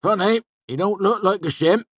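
A man voicing a joking, garrulous villager in a storybook, loud and colloquial. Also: high-pitched, moderate pace, husky, no room sound, voice pushed up. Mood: amused.

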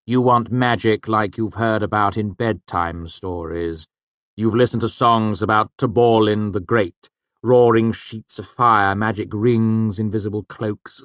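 A man's voice: on the low side, steady tone, measured pace, fairly bright tone, no echo, pitched above the performer's own voice. A man voicing a haughty male magic teacher who speaks with mocking, over-courteous scorn. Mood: scornful.

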